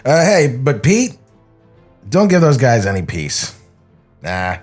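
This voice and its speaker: A man voicing a weary, sincere animation director in a halting, understated table-read performance, with music behind. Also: mid-range voice, big pitch swings. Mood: quietly concerned. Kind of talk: acted screenplay table read.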